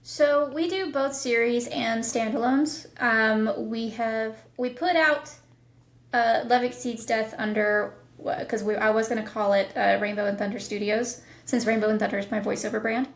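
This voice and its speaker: A woman's voice: a little high, steady tone, normal speed. A woman chatting casually as a guest in a podcast interview. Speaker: guest, audio drama producer and voice actor. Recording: roomy, echoing sound.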